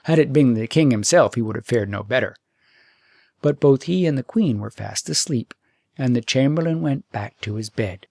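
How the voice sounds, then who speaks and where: A man narrating a fairytale audiobook in an expressive, deliberate storytelling manner. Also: mid-range voice, gravelly, no room sound, clean studio sound.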